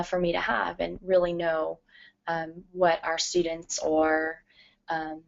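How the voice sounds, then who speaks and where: Thirties woman presenter, scratchy and earnest, filler-heavy delivery, slightly low voice, dull lo-fi sound. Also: vocal fry, darkish tone. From Louisiana. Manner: frequent ums, careful and earnest. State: scratchy voice from allergies. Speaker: literacy professor and adult educator. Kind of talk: presentation to educators. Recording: dull lo-fi recording.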